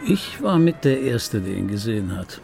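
An actor playing an ageing, wry and obsessive dubbing artist in an intimate radio drama monologue, speaking deliberately with pauses and repeated phrases in a gravelly, husky voice. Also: male voice, fairly low pitch, sing-song, a little mellow, faint music behind. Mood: wry, reminiscing. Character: ageing male dubbing actor recalling his screen star, wry, obsessive. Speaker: narrator of a radio play.

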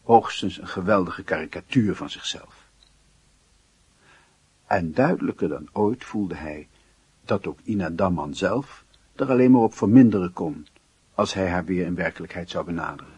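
A man's voice: sits low, normal speed, darkish tone, breathy edge, no room sound. A man narrating a literary radio drama in a solemn, introspective, dramatic manner. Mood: brooding. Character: solemn storyteller of a lovesick youth's inner life.

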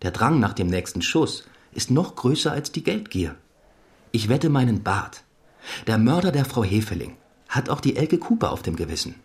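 An actor playing a confident young assistant detective in a German radio crime drama, matter-of-fact and assertive. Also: male voice, mid-range voice, sing-song, moderate pace. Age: young adult. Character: young assistant detective, brisk, confident, sure of his theory. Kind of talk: German radio play acting.

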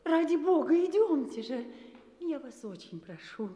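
An anxious young bride in a radio play. Her soft-toned, high voice implores, washed in long hall reverb. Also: sing-song, unhurried. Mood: pleading. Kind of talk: radio drama acting. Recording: echoey church hall reverb.

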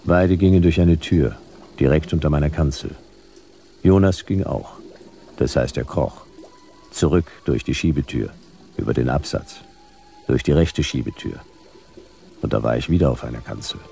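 Noir private eye, bottomless bass, creaky, laconic, sardonic, radio play narration. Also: male voice, normal speed, long pauses, mellow, a little hiss or hum. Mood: wry, observant. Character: hard-boiled sci-fi private detective narrating, dry, wry.

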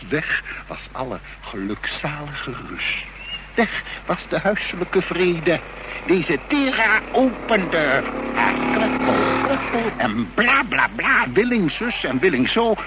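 Gleeful male villain, very high voice, swooping taunts, mock-polite, radio drama, loud background noise. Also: full character voice. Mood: sneering recollection. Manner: taunting, mock-polite, sing-song repetitions. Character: mocking, theatrical male gang leader, menacingly playful villain. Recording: noisy old radio play recording.

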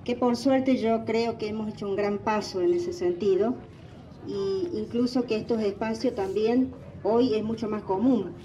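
A woman in her forties whose first language is Guarani, speaking as a guest at a gathering, halting, with many fillers and restarts. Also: mid-range voice, warm tone, recorded from a distance, highs cut off, slight background noise. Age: 40s. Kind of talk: recorded address at a gathering.

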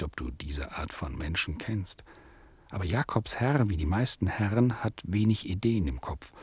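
An actor narrating a radio play as a measured, wry storyteller who addresses the listener. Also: male voice, fairly low pitch, a little mellow, husky, no room sound.

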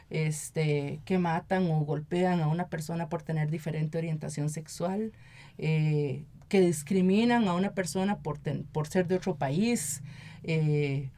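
Earnest Costa Rican woman, lowish voice. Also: a little mellow. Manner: earnest, often seeks agreement with tag questions. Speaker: activist and municipal council member, interview guest. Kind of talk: conversational radio interview.

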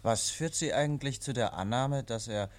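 An actor playing a calm, suspicious police inspector in a 1950s radio play, politely and persistently questioning. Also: male voice, mid-range voice, moderate pace, natural voice. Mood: probing, composed. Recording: vintage 1950s radio recording.

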